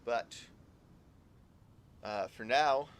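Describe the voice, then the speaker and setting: A man giving casual, halting live commentary on a game, musing aloud and trailing off into asides. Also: medium pitch, a little hiss or hum. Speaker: game streamer. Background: faint game music.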